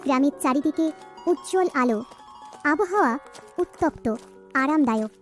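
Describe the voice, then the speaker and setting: A woman's voice: high voice, expressive, moderate pace, soft background music. A woman reading scripted narration for a cartoon folk tale.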